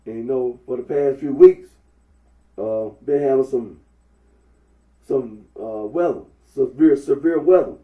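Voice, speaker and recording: A man's voice: medium pitch, warm tone. Stuffy man talking through a mask. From Memphis, Tennessee. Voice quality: talks through a face mask. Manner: rambling, halting with many uh fillers. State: stuffy head cold. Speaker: vlogger. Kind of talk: casual Facebook Live monologue. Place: indoors at home. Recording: echoey room, mic at a distance.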